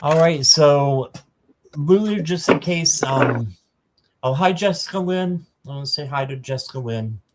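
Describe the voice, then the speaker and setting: Slow-talking man in his fifties hosting. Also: fairly high pitch, expressive, very noisy. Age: 50s. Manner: slow, halting, greets viewers by name with many ums. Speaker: livestream host. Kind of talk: casual livestream chat.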